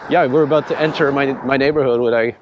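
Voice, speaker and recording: A man in his thirties with a French accent talks easily as he rides along city streets. He circles back over his words and repeats himself, relaxed and unhurried. Faint traffic murmurs behind him. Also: medium pitch, lo-fi sound. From France. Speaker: startup founder. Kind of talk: casual conversation while riding.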